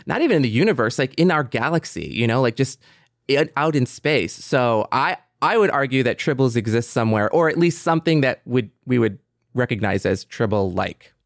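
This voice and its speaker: A man's voice: medium pitch, expressive, brisk, creaky, dry recording, studio quality. A man talking casually in a panel discussion, explaining his ideas in an earnest, expansive way.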